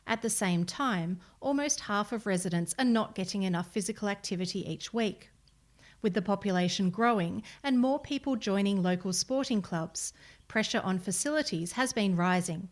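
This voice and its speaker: Woman, Australian accent, volunteer news reader, scripted article narration, mid-range pitch. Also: normal speed.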